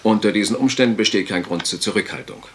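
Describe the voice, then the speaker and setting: A man's voice: on the low side, fast, slight background noise, close to an everyday voice. A man playing a sober, formal country doctor in a radio crime drama, speaking precisely and clinically. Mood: grave, precise.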